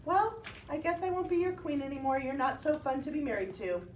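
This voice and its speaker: A woman puts on a sickly, defiant puppet queen with a high, whiny voice full of excuses. It sounds roomy and a little distant. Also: sing-song, fairly quick. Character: sick, defiant queen, weary of her husband. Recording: roomy, distant mic, faint noise.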